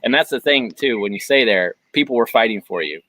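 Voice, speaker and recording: A man's voice: medium pitch, animated, a little fast, bright, forceful tone, dry recording. A man hosting an interview, affirming his guest and talking in a rambling way with frequent restarts. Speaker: podcast host. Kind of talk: conversational interview.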